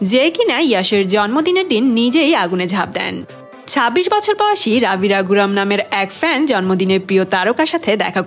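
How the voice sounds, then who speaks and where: A woman delivers a scripted gossip narration with lively, swinging intonation. She addresses her viewers like a presenter, tossing out rhetorical questions as she tells each story. Soft music plays behind her voice. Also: medium pitch. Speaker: narrator and host of a celebrity gossip channel.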